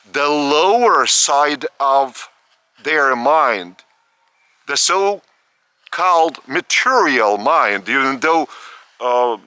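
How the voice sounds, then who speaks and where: A man from Lithuania, giving a spiritual talk outdoors in the park of an old estate, speaking slowly in short, halting phrases. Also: on the high side, bright, forceful tone, no room sound. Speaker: spiritual teacher.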